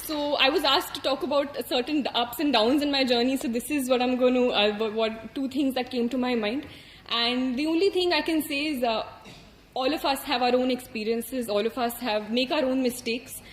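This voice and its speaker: A young woman with an Indian English accent speaks in a high, light voice, talking earnestly and thoughtfully through her advice. She pauses often on fillers and restarts her sentences. The room is echoey, with a faint hum of ambience behind her. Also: fairly even intonation. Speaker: exam topper sharing advice. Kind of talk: reflective advice talk.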